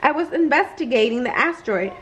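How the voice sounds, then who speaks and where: A woman's voice: high-pitched, lively intonation, brisk, a bit bright. A woman voicing a shy, bookish boy space hero in an earnest, modest way for a children's storybook. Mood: earnest, apologetic. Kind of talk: children's storybook read-aloud.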